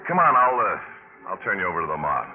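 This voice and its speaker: A thin 1950s radio recording with music behind carries a roguish young college man. His voice sits fairly low and moves around a lot as he wisecracks, flippant and wry. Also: very animated, brisk, phone-quality sound. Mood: sardonic. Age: young adult.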